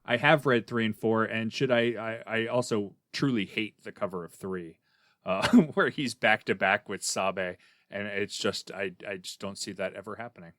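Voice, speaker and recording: A man's voice: on the low side, moderate pace, fairly bright tone, dry recording, clean studio sound. A man speaking casually and giving his opinions as a panelist in a discussion about comics. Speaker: podcast panelist reviewing comics.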